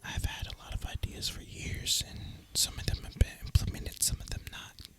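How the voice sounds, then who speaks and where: A person speaking in a breathy, unvoiced ASMR whisper, musing casually and informally as gaming commentary. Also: normal speed. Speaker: host of an ASMR gaming channel.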